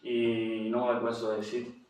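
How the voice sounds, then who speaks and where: A man's voice: medium pitch, completely flat delivery, fairly quick, a little mellow, recorded from a distance, a little hiss or hum. Cuban-accented man in an echoey room. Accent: Cuban Spanish. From Cuba. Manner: hesitant, with frequent fillers and restarts. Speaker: interview guest, general doctor. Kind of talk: testimony interview.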